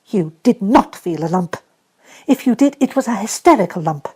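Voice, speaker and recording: A woman voicing a savage, bossy little girl who shouts and stamps as she scolds. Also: medium pitch, lively intonation, moderate pace, slightly rough, voice pushed up. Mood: furious, scolding.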